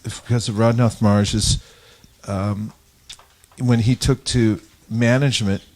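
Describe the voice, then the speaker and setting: Low-voiced man, halting and reflective. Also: fairly even intonation, a little mellow. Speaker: religious teacher in a group discussion. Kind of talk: informal spiritual discourse.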